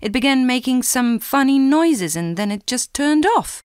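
A woman giving a clear, measured scripted reading for a language listening exam. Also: high-pitched, expressive, dry recording, studio quality. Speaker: exam recording reader.

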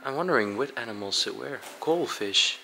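A young man casually exploring a dark abandoned aquarium, curious and describing what he finds, with short laughs. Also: mid-range voice, animated, normal speed, creaky, slight background noise, rough recording. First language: Dutch. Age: young adult. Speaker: urban explorer.

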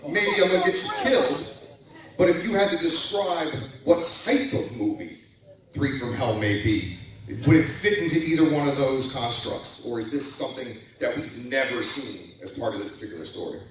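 A man moderating a convention panel, asking quick, rambling, self-deprecating questions. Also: mid-range voice, animated, a bit bright. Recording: echoey hall from far off, crowd.

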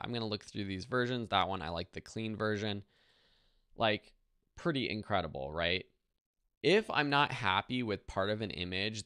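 A man in his thirties giving a casual, easygoing step-by-step software tutorial in a conversational way. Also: fairly low pitch, clear, resonant tone, no room sound, studio quality.

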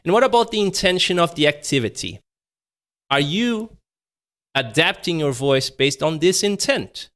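A man in his thirties presenting a webinar from home over a video call. His voice sits on the high side, and he keeps swinging it between bright, lifted lines and quiet, slowed-down ones to show what he is teaching. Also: very animated. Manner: shifts voice energy up and down to demonstrate, conversational. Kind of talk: live online webinar talk. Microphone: video call webcam.

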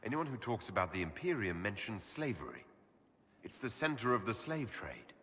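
A blunt, probing elf leader. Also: male voice, fairly low pitch, sing-song, moderate pace, fairly bright tone, big-room sound, a little hiss or hum. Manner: direct, questioning, skeptical. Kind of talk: video game dialogue.

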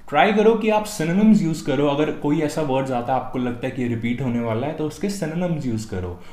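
A young man from India with an Indian accent, teaching exam tips in a video lesson and stressing each tip title. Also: on the high side. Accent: Indian English. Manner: energetic, punches each tip title louder. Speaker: test-prep tutor. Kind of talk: scripted video lesson, Hindi-English mix. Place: small room at a desk. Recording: echoey room.

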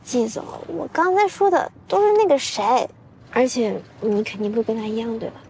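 A woman in her twenties playing a spirited, cajoling young employee in a TV drama, expressive and chatty, quick to grumble. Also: a little high, vocal fry, a little hiss or hum. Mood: pleading. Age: 20s. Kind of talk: naturalistic TV drama acting.

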